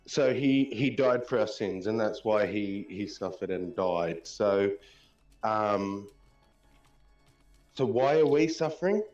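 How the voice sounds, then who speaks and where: A man's voice: fairly low pitch, animated, slow, darkish tone. A man questioning another speaker in a casual debate, probing and challenging. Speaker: debate panelist. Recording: dull, roomy audio, faint music.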